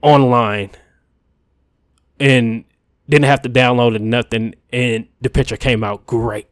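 A man's voice: medium pitch, fairly even intonation, slow pace, dry recording, clean studio sound. A man on a casual gaming podcast, talking in a laid-back, slangy way. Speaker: podcast co-host.

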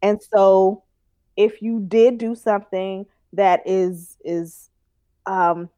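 A woman's voice: mid-range voice, little pitch movement, slow, warm tone, dry recording, studio quality. A woman in casual chat, thinking aloud carefully, with frequent ums and likes as she weighs her words. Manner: thoughtful, hedging with ums and likes.

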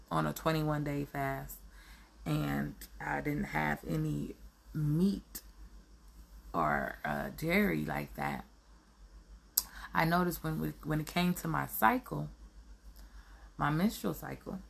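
Slow, gravelly, hoarse woman. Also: low voice, a bit bright. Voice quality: raspy. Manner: earnest, self-affirming, searching for words with many ums. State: hoarse from allergies. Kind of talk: reflective solo monologue.

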